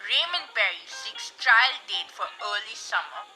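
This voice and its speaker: A woman's voice: medium pitch, animated, measured pace, bright, forceful tone, soft background music, rough recording. A young woman acting in a radio drama as an inquisitive, dramatic 1920s newspaper reporter. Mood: eager, questioning. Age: teenager.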